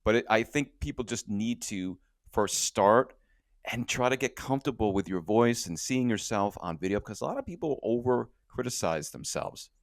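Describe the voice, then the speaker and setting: A man talking as a guest on an interview podcast, energetic and casual, often repeating words as he starts a thought. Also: medium pitch, normal speed, no room sound, clean studio sound. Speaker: podcast guest, company co-founder.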